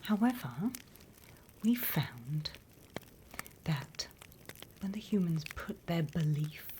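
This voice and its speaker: Woman playing a slow, whispering British demon teacher. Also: sits low, lively intonation, background noise. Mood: wry, instructive. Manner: slow, deliberate, teacherly, teasing menace. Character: sly demon teacher briefing little demon trainees, mock-stern. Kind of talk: whispered ASMR roleplay.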